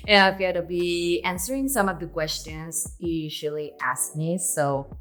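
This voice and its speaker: A woman's voice: lowish voice, normal speed, fairly bright tone, faint music behind. Venezuelan woman in her twenties, chatty vlog. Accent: Venezuelan Spanish. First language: Spanish. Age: 20s. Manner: chatty, laughs often, non-native grammar. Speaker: vlogger. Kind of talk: casual solo Q&A chat.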